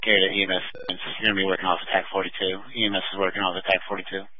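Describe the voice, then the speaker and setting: A man's voice: low voice, completely flat delivery, very fast, no room sound. A man working as an emergency dispatcher, passing on information over a two-way radio. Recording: muffled dispatch radio channel.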